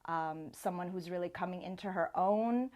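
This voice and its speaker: A woman's voice: fairly low pitch, normal speed, a little mellow. Woman, interview host, casual chat, effusive, informal phrasing, conversational.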